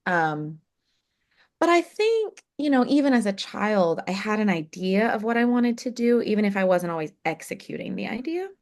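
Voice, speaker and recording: A woman's voice: medium pitch, big pitch swings, moderate pace. A woman in her thirties, a jazz singer, chatting in a podcast interview, with lots of fillers and easy laughs. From Oklahoma, USA. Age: 30s. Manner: chatty, warm anecdotes with many fillers and laughs.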